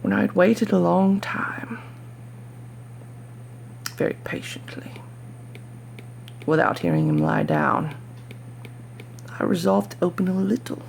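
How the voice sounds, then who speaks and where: A performer voicing a nervous, obsessive mad murderer in a dramatic gothic horror reading, with breathy whispering and halting, theatrical emphasis. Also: mid-range voice, very animated, a little mellow, a little hiss or hum, heavily acted. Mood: hushed, stealthy.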